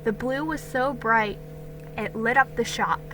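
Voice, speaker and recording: A woman giving an expressive, deliberate dramatic reading of a children's historical storybook. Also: fairly high pitch, soft background music, poor audio. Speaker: storybook reader.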